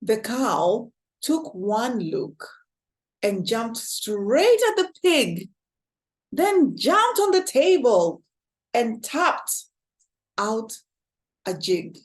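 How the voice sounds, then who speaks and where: Slow, expressive Kenyan storyteller woman. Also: fairly high pitch. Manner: expressive, exclaiming asides. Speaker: storytime host. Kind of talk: children's read-aloud.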